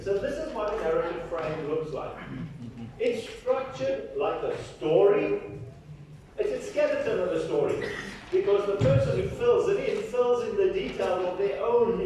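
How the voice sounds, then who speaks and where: A man's voice: high-pitched, a little slow, warm tone, distant mic, a little hiss or hum. A man giving a lecture, often checking in with his listeners. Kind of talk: academic lecture. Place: large echoey lecture hall. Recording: echoey hall, speaker off-mic.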